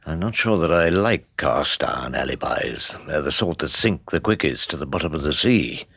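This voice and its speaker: A man's voice: a bass voice, lively intonation, moderate pace, vocal fry, a bit bright, breathy edge, highs cut off, full character voice. An actor playing a portly, wry, world-weary older English barrister who narrates his own story in a droll, ruminative way. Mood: wry narrating. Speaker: narrator and lead character. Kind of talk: radio drama acting with wry storytelling.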